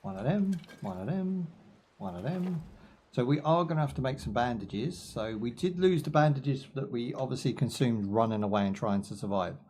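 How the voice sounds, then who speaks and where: A man's voice: mid-range voice, expressive, normal speed, darkish tone, slight background noise. A young British man chatting casually while he plays a game live, rambling through jokes, asides and fillers. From United Kingdom. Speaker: gaming streamer. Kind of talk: casual live gameplay commentary.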